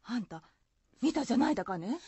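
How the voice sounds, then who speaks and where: High-voiced, anxious country wife. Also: female voice, very animated, quick pace, warm tone, slightly rough, no echo, full character voice. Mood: anxious, curious. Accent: Shizuoka dialect. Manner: fretful, questioning. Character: anxious, caring rustic wife. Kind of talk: radio drama folk-tale acting.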